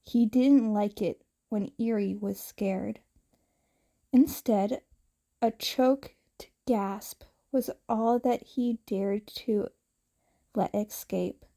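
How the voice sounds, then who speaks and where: A woman reading fiction aloud as an expressive story narrator. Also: mid-range voice, very slow, gravelly. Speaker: podfic narrator.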